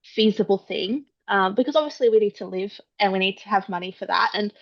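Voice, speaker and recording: An Australian woman in her young adult years talks through her story in loose, conversational chat. She strings thoughts together with fillers and quick quoted asides. The audio is a little dull and lo-fi. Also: medium pitch, creaky. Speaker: guest author. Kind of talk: casual interview chat.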